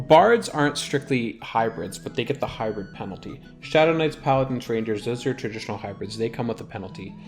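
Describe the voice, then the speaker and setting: Man casually narrating his gameplay over music. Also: medium pitch. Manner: rambling, thinking aloud. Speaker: gaming video host.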